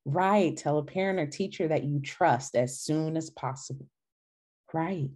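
A young woman with a fairly low, mellow voice leads a storytime for children. She speaks encouragingly and repeats things for young listeners, over a video call from home. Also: unhurried. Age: young adult. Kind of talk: children's storytime reading and discussion. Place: small indoor room at home. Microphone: video call, laptop microphone.

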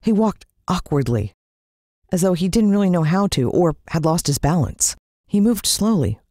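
A woman's voice: sits low, darkish tone, no room sound, studio quality. A woman with an American accent narrating a story in a dramatic, suspenseful way, drawing out words. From United States. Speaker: audiobook narrator.

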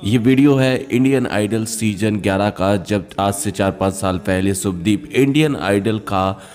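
A man's voice: lowish voice, a little slow, a little mellow, big-room sound. A man giving casual promotional commentary on a singing show as a video narrator, addressing viewers as friends. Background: music.

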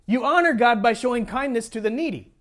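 An American man with a high voice gives a sermon to his congregation. His pitch moves in lively swings as he teaches, informal and conversational, restating key phrases for weight. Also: a little fast. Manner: informal, jokey asides, repeats phrases for emphasis. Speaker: pastor.

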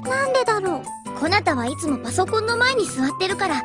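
A woman's voice: very high voice, very animated, rapid-fire. A woman playing a chatty teenage schoolgirl in an anime, with music behind her. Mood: chatty, puzzled. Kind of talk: Japanese anime dialogue. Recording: cartoon clip with music behind.

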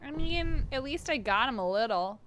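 A young woman streams her gameplay with casual running commentary. Her voice is high and bright, and it swings widely in pitch. She is giggly and swears easily as she chats. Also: animated, moderate pace, soft background music. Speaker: live streamer playing a video game. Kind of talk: casual live game commentary and chat.

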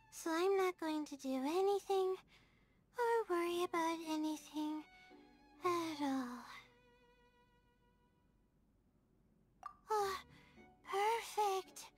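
Very high-pitched, anxious student girl. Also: full character voice. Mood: relieved, resolved. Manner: hesitant, stammering, self-doubting. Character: anxious, sleep-deprived young female student, sweet and timid. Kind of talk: video game character dialogue. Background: soft game music.

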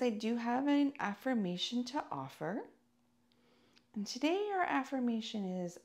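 Female meditation guide: very unhurried, soothing delivery, noticeable vocal fry, deliberate phrasing. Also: medium pitch. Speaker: yoga and meditation teacher.

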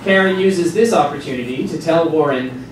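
A man's voice: a little high, moderate pace. A man reading scripted dramatic narration on stage. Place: small stage before a seated audience. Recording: distant mic, very echoey hall, noisy.

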